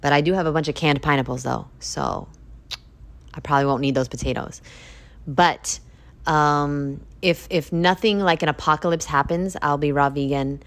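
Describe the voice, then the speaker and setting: A woman in her thirties talks straight at her podcast listeners in a blunt, preachy monologue full of swearing. Her voice sits on the low side and keeps leaping up into emphatic high peaks. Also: moderate pace. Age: 30s. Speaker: podcast host. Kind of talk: casual monologue.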